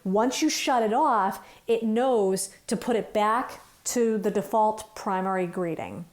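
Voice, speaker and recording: A woman's voice: medium pitch, measured pace. A woman working as a trainer, talking through instructions step by step in an informal, conversational way. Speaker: phone system trainer.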